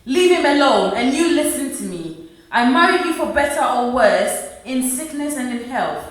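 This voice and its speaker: Long-suffering 1950s mother for radio drama, played by a woman; high, plaintive, heavily echoed. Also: expressive, moderate pace. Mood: reproachful. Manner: earnest, plaintive. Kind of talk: radio play acting. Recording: very echoey room, distant mic.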